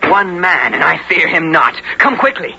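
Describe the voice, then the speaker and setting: An actor playing a bold, earnest young squire in an old-time radio drama, speaking and narrating theatrically. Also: male voice, fairly high pitch, very animated, moderate pace, creaky, over loud music. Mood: resolute, tense. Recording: dull, noisy radio recording.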